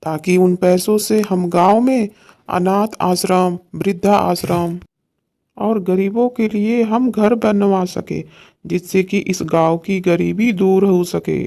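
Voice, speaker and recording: A man's voice: high voice, fairly even intonation, slow, creaky, warm tone, full character voice. A man voicing a kind, idealistic poor village husband in a cartoon story, talking earnestly. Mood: earnest, hopeful.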